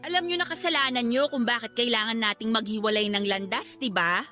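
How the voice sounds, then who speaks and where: A woman's voice: fairly high pitch, fairly quick, bright, forceful tone, soft background music. A woman acting an emotional student daughter in a Filipino radio drama, speaking with a Filipino accent. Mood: irritated. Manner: emotional, cutting, polite address to parents. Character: hurt, angry student daughter confronting her cheating father.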